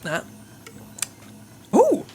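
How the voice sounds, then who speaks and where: A man's voice: extremely slow, a little mellow, a little hiss or hum. A man playing a bumbling, self-important male spy chief in a comedy audio drama, childish and clueless. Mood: whiny, clueless. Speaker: Skip, agency boss.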